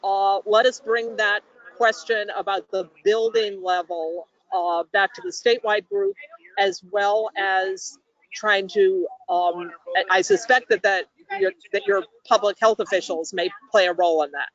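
A woman's voice: mid-range voice, measured pace, no room sound. A woman moderating an online meeting and answering questions. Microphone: video call. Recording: dull lo-fi video-call audio.

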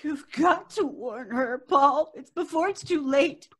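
A woman's voice: high voice, measured pace, a bit bright. A woman playing a haunted, frightened, insistent wife in an old-time radio horror play, melodramatic and urgent. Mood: desperate, pleading. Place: room on a video call.

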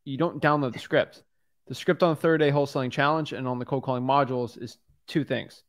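A man's voice: medium pitch, steady tone, fast. A young man in his twenties coaching a student on a video call, talking bluntly and directly into a studio microphone in a home studio. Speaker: wholesaling coach. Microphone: studio mic on a boom arm.

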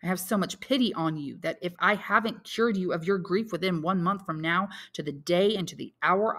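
A solemn, commanding count, as a woman reader voices him, slow and measured, persuading with quiet authority. The voice sits lowish for a woman. It stays steady and grave.

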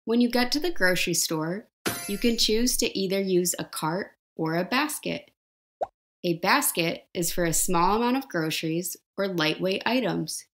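A woman narrating a scripted life skills lesson, explaining each step slowly and simply and asking the viewer questions. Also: on the low side, slight background noise.

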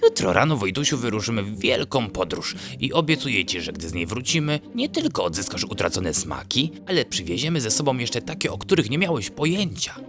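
A man's voice: medium pitch, very animated, a little fast, hardly any pauses, music in the background, pitched above the performer's own voice. A man voicing a kind, cheerful, resolute male fox who reassures his cousin in a children's bedtime story.

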